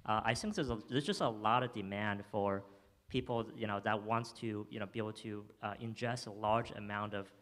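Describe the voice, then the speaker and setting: Young man, startup founder, demo talk, lowish voice, restarts and fillers, handheld mic on stage. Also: steady tone, normal speed, a bit bright. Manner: filler-heavy, frequent restarts, enthusiastic. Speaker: startup founder presenting his product. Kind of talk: live demo talk and audience Q&A. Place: theater stage.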